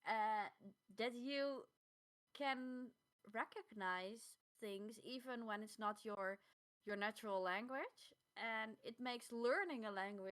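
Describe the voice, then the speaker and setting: A woman with a Dutch accent co-hosting a podcast in casual, slightly halting English. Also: fairly high pitch, fairly bright tone. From Netherlands. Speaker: podcast co-host.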